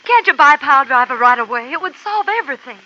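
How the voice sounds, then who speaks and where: Earnest worried young woman, high and bright. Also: animated, quick pace. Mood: pleading. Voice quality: pleading, earnest. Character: anxious young woman protecting her father. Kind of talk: 1950s radio drama acting. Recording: dull noisy old radio recording.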